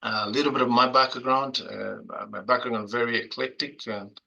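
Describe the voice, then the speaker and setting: A man from the Congo with a Congolese accent, introducing himself as a panelist in a webinar over a video call, halting, with many fillers and restarts. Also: mid-range voice, fairly even intonation, gravelly, pushed and bright, dull audio. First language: Kinyamulenge.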